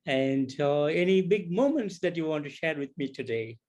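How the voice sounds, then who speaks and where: A man's voice: fairly high pitch, lively intonation, normal speed, darkish tone. A man conducting a casual music interview, encouraging the guest with short repeated affirmations.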